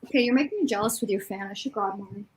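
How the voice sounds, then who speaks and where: A woman's voice: medium pitch, fast talker, a little mellow, slight background noise. A woman co-hosting a casual livestream book chat in a wry, sarcastic way. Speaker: reading livestream co-host.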